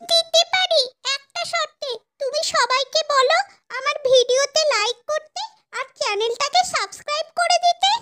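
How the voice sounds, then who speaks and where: Squeaky little cartoon child. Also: female voice, moderate pace, sharp tone, a little hiss or hum. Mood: bargaining. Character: clever, cheerful little child hero of cartoon tales. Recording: music under the voice.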